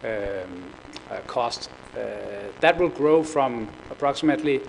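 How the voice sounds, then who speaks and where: A man's voice: mid-range voice, expressive, measured pace, a little hiss or hum, poor audio. Danish man giving a hesitant business talk. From Denmark. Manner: hesitant, many fillers and repeated words. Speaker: conference speaker. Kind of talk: business presentation. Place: conference room.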